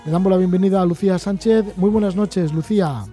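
A man's voice: sits high, fairly quick, mellow, soft background music. A male radio interviewer introducing his guests and asking them friendly, leading questions in a studio.

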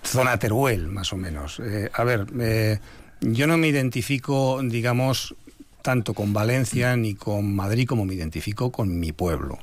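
An older Spanish man, an author being interviewed, talking in a reflective, measured way with some hesitations. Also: on the low side. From Spain. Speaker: author guest. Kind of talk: radio interview.

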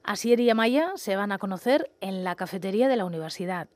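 A woman's voice: mid-range voice, lively intonation, fairly quick, darkish tone, dry recording. A woman presenting a scripted literary radio programme as its host. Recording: music playing behind the voice. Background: background music.

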